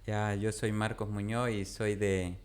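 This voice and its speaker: Man, 30s, from Ecuador, low voice, unhurried, radio interview guest. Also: little pitch movement. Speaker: missionary pastor guest. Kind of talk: interview answers. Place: radio studio.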